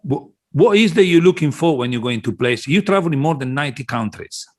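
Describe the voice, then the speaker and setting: A man hosting a conversational interview podcast, phrasing his questions in a halting way with pauses. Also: fairly high pitch, animated.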